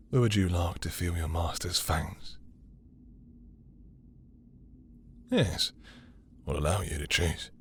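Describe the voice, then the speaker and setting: A man playing a possessive, dominant vampire lord in intimate roleplay audio, with a husky, breathy voice and a teasing, seductive, condescending manner. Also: sits low, a little hiss or hum. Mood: seductive, hungry. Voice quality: husky, breathy, dropping into whispers.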